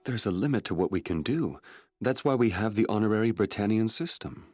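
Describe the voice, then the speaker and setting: An actor playing a shrewd, courteous elder-brother prince and statesman in an English anime-dub audio drama, measured and diplomatic. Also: fairly low pitch, expressive, darkish tone, dry recording, studio quality, faint music behind. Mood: measured, advising.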